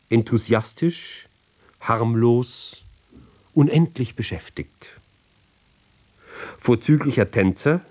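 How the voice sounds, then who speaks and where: Slow, deliberate man reciting literary text. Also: mid-range voice, a little mellow. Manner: deliberate, with pauses between short phrases. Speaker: reader. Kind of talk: measured literary recitation for radio.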